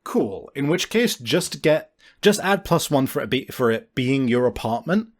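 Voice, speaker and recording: A man's voice: medium pitch, sing-song, unhurried. A man narrating a tabletop game as game master in a casual, sweary, improvised way.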